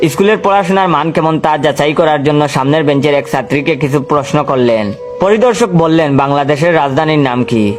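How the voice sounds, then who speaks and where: A man's voice: fairly high pitch, brisk, faint music behind. A man narrating a scripted comic story as a storyteller.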